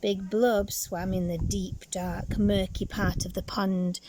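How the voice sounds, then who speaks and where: A woman reading a picture book expressively to young children, with dramatic pauses and asides to her listeners. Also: medium pitch, little pitch movement, noisy background.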